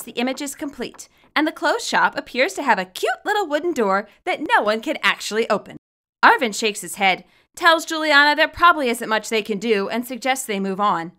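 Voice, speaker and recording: A woman narrates fan fiction from a script in a high, bright voice. Her delivery is expressive, with lively swings in pitch. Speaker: author reading own fan fiction.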